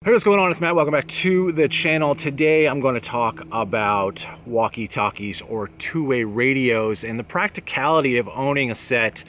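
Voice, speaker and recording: A man's voice: medium pitch, moderate pace, hardly any pauses, a bit bright. A man talking casually and plainly to camera as host of an outdoor gear channel, recorded outdoors. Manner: plain-spoken, conversational. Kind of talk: casual product talk to camera. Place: outdoors among apartment buildings and trees. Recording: noticeable background noise, outdoor ambience.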